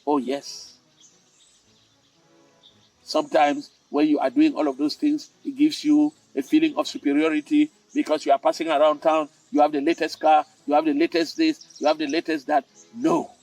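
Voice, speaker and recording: An older man with a Cameroonian accent tells stories and draws morals from them. His voice sits a little high, and he speaks theatrically, leaning on repetition and dramatic pauses. He is recorded at some distance from the microphone, with music in the recording. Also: level tone, a little mellow. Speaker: storytelling host. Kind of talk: spoken storytelling with moral advice. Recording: roomy, distant mic with music.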